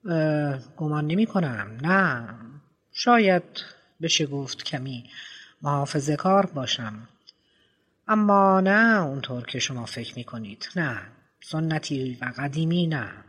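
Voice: medium pitch, lively intonation, a bit bright, breathy edge. A reader voicing a guarded middle-aged father who answers politely and hesitantly. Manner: hesitant, polite, stumbling over fillers.

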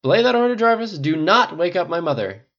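A narrator plays a loyal, hard-swearing military friend in an audiobook. The talk is blunt and emphatic, swinging widely in pitch, with slightly muffled sound. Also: big pitch swings, moderate pace. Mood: indignant.

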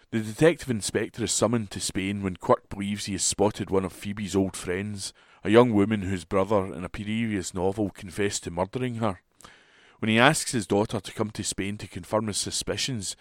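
A man's voice: lowish voice, dry recording, studio quality. A man reading a newspaper book review aloud as a volunteer, recorded at home.

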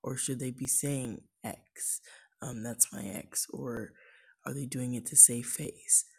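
A woman with a naturally raspy, husky voice, hosting a casual live stream and musing aloud in a soft, half-whispered way with frequent pauses. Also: very deep voice, fairly even intonation. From California. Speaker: livestream host.

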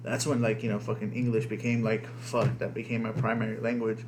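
A man's voice: lowish voice, little pitch movement, fairly quick, slight background noise. A young man, a dad who grew up speaking Spanish first, chatting loosely and cursing a lot on a podcast. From United States. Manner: profane, rambling, heavy on fillers. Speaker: podcast co-host. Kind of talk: casual podcast chat.

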